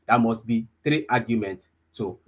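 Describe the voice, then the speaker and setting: A man's voice: fairly low pitch, normal speed, background noise. A man, teaching a live online data class, repeating himself and often checking whether listeners follow. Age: 20s. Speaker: data analysis instructor.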